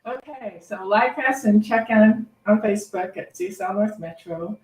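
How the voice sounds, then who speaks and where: A woman's voice: mid-range voice, moderate pace. Distant, echoey woman making casual announcements. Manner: casual, self-deprecating. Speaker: reverend hosting a service. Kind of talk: informal spoken announcements. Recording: echoey room, distant mic.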